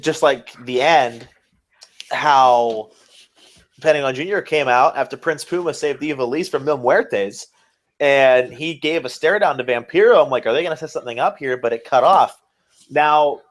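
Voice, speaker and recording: Enthusiastic, swearing man chatting about his fandom. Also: medium pitch. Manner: enthusiastic, profane. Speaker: podcast co-host. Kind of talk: casual wrestling fan chat.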